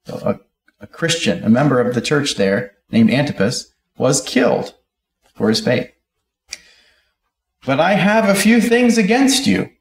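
A man's voice: mid-range voice, animated, a little slow, long pauses, reverberant. A middle-aged man preaching a sermon at a pulpit, reading scripture aloud and explaining it verse by verse. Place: church auditorium, speaking at a pulpit.

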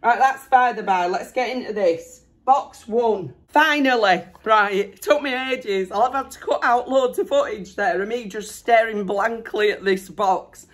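A middle-aged woman chatting excitedly to camera from her bedroom, her voice still hoarse after losing it to illness. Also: a little high, measured pace, hardly any pauses. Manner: chatty, excited, self-deprecating. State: hoarse, recovering from lost voice. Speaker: vlogger. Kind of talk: casual chat. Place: bedroom at home, indoors.

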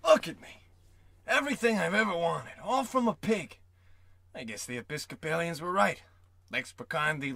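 A man playing a wistful, excitable old tramp in a radio comedy, folksy, theatrical and rambling. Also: mid-range voice, no echo, exaggerated voice. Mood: marveling. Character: down-on-his-luck old tramp, failed vaudevillian, wistful and excitable. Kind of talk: old-time radio comedy play acting.

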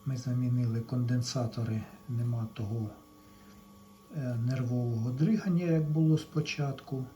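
A man's voice: medium pitch, steady tone, normal speed, dark tone, highs cut off. A man casually narrating a hands-on repair for his viewers, explaining step by step in a plainspoken way. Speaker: electronics repair vlogger. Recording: clearly noisy home-made video recording.

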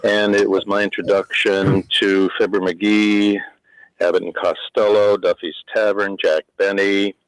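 A man calling in to a radio show, asking his question haltingly with frequent pauses. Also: lowish voice, flat delivery, dry recording, rough recording. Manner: halting, many ums. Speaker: caller. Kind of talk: phone-in question.

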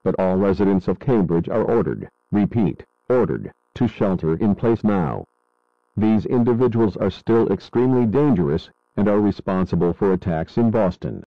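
Man acting as grave radio news anchor, low voice, serious, explanatory, dry dull recording. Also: expressive, moderate pace, no echo. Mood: grave concern. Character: grave male radio newsman covering a disaster. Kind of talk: scripted breaking news coverage.

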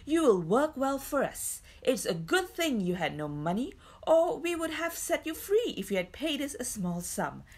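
A woman voicing a bossy, gloating storybook dwarf, high-pitched. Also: sing-song, moderate pace, few pauses, darkish tone, full character voice. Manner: taunting, commanding.

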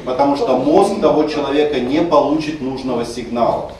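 A man's voice: medium pitch, fairly even intonation, fairly quick, a crowd in the background. A man teaching a class, explaining pronunciation rules and sounding words out to demonstrate. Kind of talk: screencast lecture on Russian stress. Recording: very echoey room, distant mic, faint noise.

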